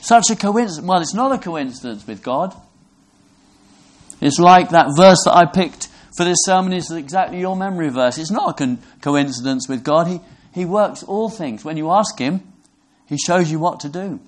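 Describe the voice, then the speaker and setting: A man from Brixham, England, preaching a sermon in an earnest, halting way, often restarting his words. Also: a little high, expressive. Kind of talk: sermon to a congregation.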